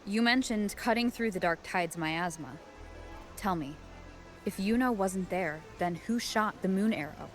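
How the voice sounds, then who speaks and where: A woman's voice: mid-range voice, measured pace, faint music behind, natural voice. A woman playing an earnest, determined young warrior heroine in a video game, speaking insistently. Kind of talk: scripted video game dialogue.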